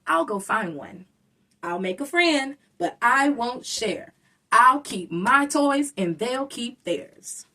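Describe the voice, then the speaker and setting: A woman voicing a selfish, stubborn little boy creature in a children's storybook, loud and possessive in a childish way. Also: on the high side, lively intonation, slow pace, distant mic, full character voice. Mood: sulky, stubborn. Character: selfish, stubborn young boy creature who grabs toys.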